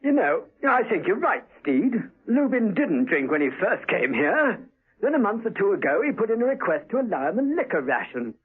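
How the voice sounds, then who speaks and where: An actor playing a hearty, blustery military colonel in a 1970s radio drama. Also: male voice, high-pitched, sing-song, moderate pace, slightly rough, full character voice. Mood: jovial. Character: jovial, exasperated male colonel guarding prisoners. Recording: thin phone-like 1970s radio recording.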